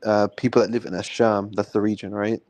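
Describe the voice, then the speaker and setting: A man's voice: on the low side, level tone, brisk, darkish tone, no room sound. A man from Lebanon in an informal religious debate, explaining his argument and often checking with the listener. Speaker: debate panelist.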